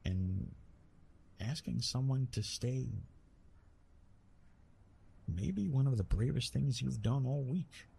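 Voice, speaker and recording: A man playing a retired god of love in an intimate ASMR roleplay, tender and teasing, speaking softly with a husky, breathy voice and halting, reassuring delivery. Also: medium pitch, animated, heavily acted. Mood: gently reassuring. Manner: gentle, reassuring, halting with pauses and self-corrections.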